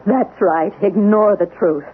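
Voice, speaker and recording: Actress as a desperate scientist, muffled old radio. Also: female voice, medium pitch, measured pace, slight background noise, close to an everyday voice. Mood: bitter, accusing. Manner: urgent, accusing. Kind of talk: 1970s radio drama acting.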